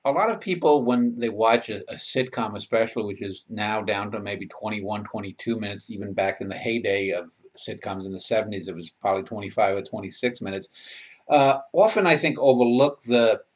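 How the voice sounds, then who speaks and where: A man's voice: fairly low pitch, a little fast. A man interviewing over a video call, asking long, rambling questions full of "uh" and "you know.". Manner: rambling, hesitant questions with fillers. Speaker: interviewer, host.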